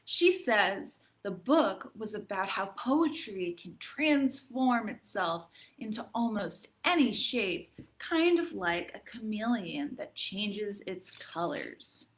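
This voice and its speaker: A woman hosting a children's storytime, reading poems aloud and chatting in an expressive, encouraging manner. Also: medium pitch, takes it slowly. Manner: expressive, encouraging, addressing young listeners. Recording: echoey, roomy sound.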